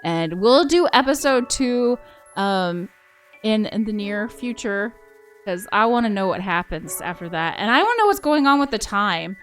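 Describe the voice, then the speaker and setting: A woman's voice: medium pitch, lively intonation, moderate pace, faint music behind. A woman hosting a game playthrough, chatting casually and informally. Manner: informal, says y'all. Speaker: host of a game playthrough channel. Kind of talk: casual chat.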